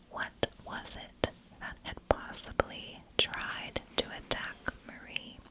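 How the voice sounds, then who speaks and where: A woman narrating a scripted tale in ASMR style, in a hushed and deliberate storytelling manner. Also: low-quality recording. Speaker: ASMR storyteller and channel host. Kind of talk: whispered ASMR scripted narration of a historical legend.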